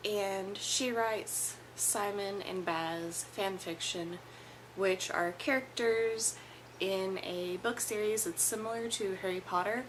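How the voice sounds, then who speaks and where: A young woman with a hoarse, worn voice talks through her monthly reading in a chatty, rambling way and often corrects herself mid-sentence. She sits in a small room in front of her camera, and a faint hum of room noise stays under her voice. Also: mid-range voice, fairly bright tone, poor audio. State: hoarse from illness. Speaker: book vlogger. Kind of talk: casual book review monologue.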